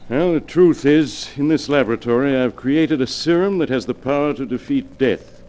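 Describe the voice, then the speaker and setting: An actor playing a calm, cunning male scientist in a 1940s radio drama, speaking in a polished, measured way. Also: medium pitch, natural voice. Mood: explaining.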